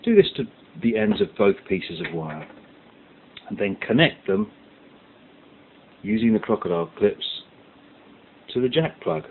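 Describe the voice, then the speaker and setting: A man's voice: fairly low pitch, big pitch swings, measured pace, long pauses. A man presenting a hands-on science tutorial, explaining each step methodically and stressing the key words. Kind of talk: step-by-step instructional demonstration. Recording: muffled lo-fi audio with background hiss.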